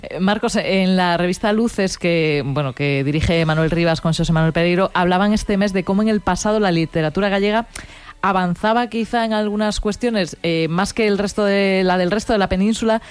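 A woman's voice: mid-range voice, brisk, clear, resonant tone. A woman hosting an interview, asking long, winding questions with many fillers. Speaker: host.

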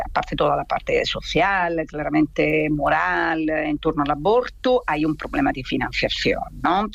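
A woman from Italy with an Italian accent explains things in interview answers as a feminist activist, in a halting, hesitant way with drawn-out pauses. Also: low voice, vocal fry, husky, no echo, muffled recording.

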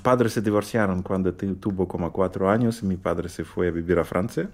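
Deep gravelly Russian-accented man. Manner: halting, searching for words with many restarts. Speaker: guest pianist. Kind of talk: radio interview.

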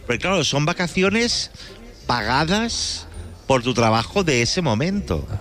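Animated, higher-voiced Spanish man on radio. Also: measured pace, soft background music. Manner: enthusiastic, inquisitive. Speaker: radio show panellist. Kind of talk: casual live radio chat.

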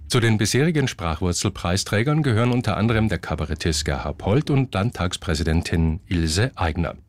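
Low-voiced male radio newsreader. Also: lively intonation, brisk. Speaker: radio news presenter. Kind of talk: scripted news bulletin.

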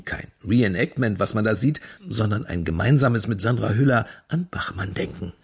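A man hosting a radio culture show, reading scripted narration in a measured, reflective way. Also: on the low side, expressive, slightly rough.

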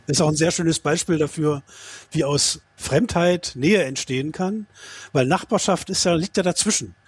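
Male discussion guest with a slightly raspy, lighter-pitched voice, explaining thoughtfully and analytically. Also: normal speed, no echo. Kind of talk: reflective, explanatory commentary.

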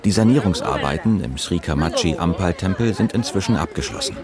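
A man reading a scripted documentary narration in a calm, measured way. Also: deep voice, over a noisy crowd. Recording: voice-over with music behind.

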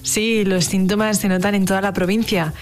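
Crisp female news reporter. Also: medium pitch, flat delivery, normal speed, soft background music. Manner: crisp, scripted delivery. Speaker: field reporter.

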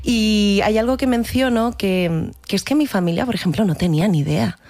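A woman in her thirties with a mixed Catalan and Madrid Spanish accent, speaking reflectively as an interview guest, pausing to find her words. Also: medium pitch, a little mellow. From Barcelona, Spain. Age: 30s. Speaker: actress, guest. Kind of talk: reflective personal conversation.

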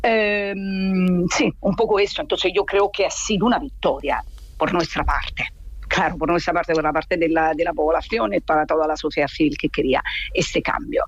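A woman with an Italian accent, an expert guest answering interview questions and pausing on fillers and restarts. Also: on the low side, steady tone. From Italy. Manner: thoughtful, many fillers and restarts. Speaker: gender and rights expert guest. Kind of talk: interview by phone. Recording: muffled dry phone line.

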